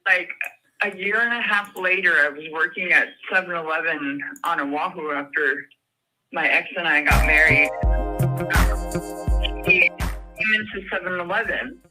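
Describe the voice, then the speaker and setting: A man's voice: fairly high pitch, unhurried, over music, poor audio. A man from Hawaii calling in to a talk show, telling stories in a chatty, giggly way. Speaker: caller. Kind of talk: casual phone-in chat.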